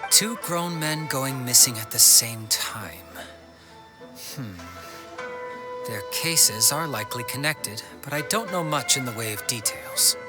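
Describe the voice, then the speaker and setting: A man's voice: medium pitch, natural voice. A man voicing a courteous, obliging townsman in a video game, talking politely and expressively. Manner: polite, expressive, conversational, flattering. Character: obliging, well-informed, courteous man of the town. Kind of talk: scripted video game dialogue. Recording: game music behind the voice. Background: background music.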